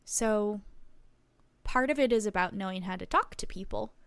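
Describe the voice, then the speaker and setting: A woman's voice: mid-range voice, normal speed, creaky, a bit bright, clean tone, no echo. A woman playing a chatty, helpful small-town reporter, friendly and explanatory, in a tabletop roleplaying game. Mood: earnest, instructive. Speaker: game master.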